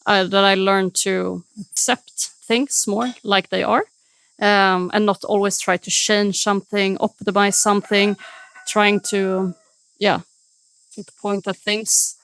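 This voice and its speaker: Gravelly, reflective woman. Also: mid-range voice, level tone, slow, fairly bright tone, dry recording. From Sweden. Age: 30s. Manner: reflective, hesitant with fillers. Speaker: interviewee, fitness coach.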